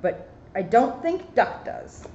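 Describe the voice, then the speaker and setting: A woman voicing a composed, puzzled lady teacher from a storybook in a deliberate, questioning manner. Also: on the high side, sing-song, darkish tone. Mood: thoughtful. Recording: roomy echo, muffled, faint noise.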